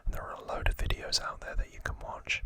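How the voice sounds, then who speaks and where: A man whispering softly in a breathy, unhurried ASMR style as he explains trivia informally, pausing between short phrases. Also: no echo. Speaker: ASMR video host.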